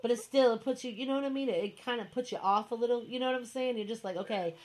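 A woman's voice: fairly high pitch, very fast, a little hiss or hum. A woman hosting an online radio show who talks in a chatty, rambling way, full of fillers like "you know what I mean". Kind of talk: casual interview chat.

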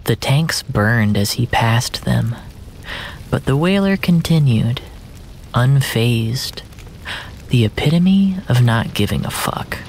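A man narrating a scripted story in a measured, solemn, literary way, often breathy and dropping toward a whisper. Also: medium pitch, lively intonation, a little hiss or hum. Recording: narration over a music bed. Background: music.

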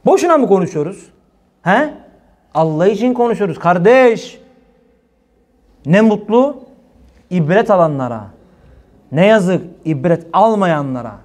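A man's voice: high-pitched, big pitch swings, unhurried, long pauses, darkish tone. A middle-aged man from Turkey preaching informally, emphatic and exhorting, with colloquial phrasing and rhetorical questions. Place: small indoor room at a preaching desk.

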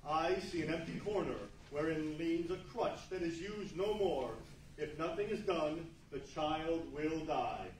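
A man's voice: fairly high pitch, a little slow, a little mellow, natural voice. A man playing a stern, mocking Christmas spirit with a grave, pointed manner in a radio play. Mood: grave, reproachful. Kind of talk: 1940s radio play acting. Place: large meeting hall before an audience. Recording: distant echoey hall, faint noise.

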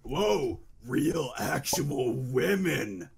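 A man voicing a loud, bumbling cartoon warlord villain with a gravelly voice, ad-libbed for a comedy fan dub. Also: medium pitch, sing-song, very slow, a crowd in the background, full character voice. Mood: smug, casual. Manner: ad-libbing, exclaiming in bursts.

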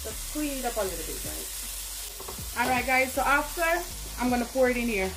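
A woman with a Jamaican accent talks her viewers through a home-cooked meal, chatty and informal, tossing in asides to them. Her voice sits a little high. Clear sizzling and stirring from the stove run under her words. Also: animated, brisk. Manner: chatty, keeps calling to viewers. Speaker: cooking vlogger. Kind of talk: casual cooking demonstration. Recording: sizzling pan and kitchen noise behind.

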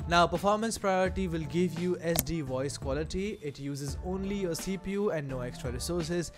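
Male tutorial presenter, higher-pitched voice, promotional and enthusiastic, clear music bed underneath. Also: moderate pace. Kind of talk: screen-recorded tutorial narration. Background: background music.

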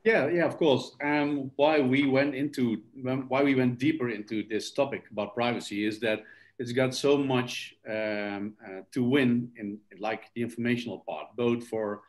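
A man's voice: mid-range voice, steady tone, moderate pace, poor audio. Hesitant man on a video call. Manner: hesitant, many fillers. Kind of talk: casual panel talk.

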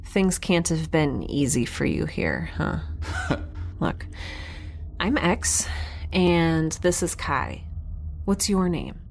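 An actress playing a flustered, sarcastic young woman scientist in a science fiction audio drama, with a halting, rambling, wry delivery. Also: fairly low pitch, heavily acted. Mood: apologetic, gentle. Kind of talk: naturalistic audio drama dialogue.

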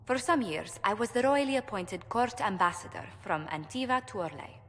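Actress as poised fantasy ambassador: smooth, diplomatic, gracious; game audio with long reverb. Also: female voice, medium pitch, lively intonation, moderate pace, natural voice. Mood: composed. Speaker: game character.

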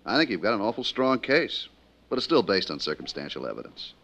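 An actor playing a measured, skeptical harbor police inspector in a 1950s radio drama. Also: male voice, lowish voice, very animated, creaky, fairly bright tone, exaggerated voice. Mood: sober, reflective. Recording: dull 1950s radio recording.

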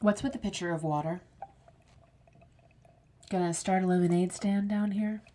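A woman's voice: fairly low pitch, fairly even intonation, moderate pace, dark tone, lightly acted. An actress playing a bitter, wounded woman kept alive as a severed head in an audio drama, with a cutting, sarcastic delivery. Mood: wry, suspicious.

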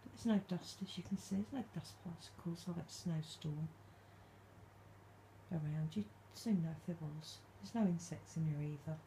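A woman vlogging at night from her dark bedroom, speaking softly and calling out to spirits, her voice a little stuffed up from hay fever. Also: low voice, normal speed, a little hiss or hum, poor audio. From England. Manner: hushed, soft-spoken, calling out to spirits. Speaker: paranormal vlogger. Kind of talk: quiet late-night ghost hunting vlog.

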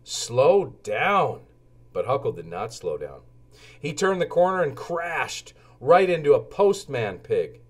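A man reads a children's storybook aloud at an unhurried pace. His delivery is expressive, with lively swings in pitch, shaped for young listeners. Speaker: children's book narrator. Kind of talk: animated read-aloud storybook narration.

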